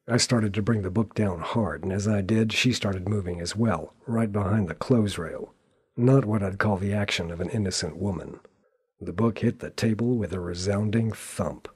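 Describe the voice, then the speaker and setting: An actor playing a wry, world-weary private detective who narrates a noir radio play in a sardonic, deadpan way. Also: male voice, lowish voice, moderate pace, slightly rough. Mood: wry, brooding. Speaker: narrator and lead character.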